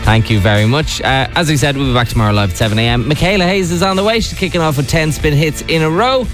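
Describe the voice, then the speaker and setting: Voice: mid-range voice, fast. A radio host wrapping up a show in casual, bantering talk over a music bed. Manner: bantering, laughing easily.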